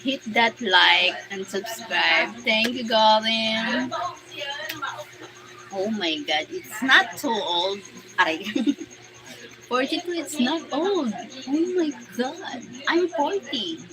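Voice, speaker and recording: A woman's voice: fairly high pitch, animated, slow, a crowd in the background, low-quality recording. A Filipino woman in her forties, chatting casually on a live stream, reading out comments, thanking viewers by name and laughing often. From Philippines. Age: 40s. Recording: music playing under voice. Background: music playing.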